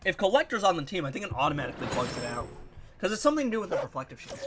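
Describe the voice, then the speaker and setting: A man's voice: sits high, very fast, noisy background. Congested young man narrating gameplay. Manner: animated, puzzled, rambling. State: congested, nasal. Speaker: gaming YouTuber. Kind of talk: casual gameplay commentary.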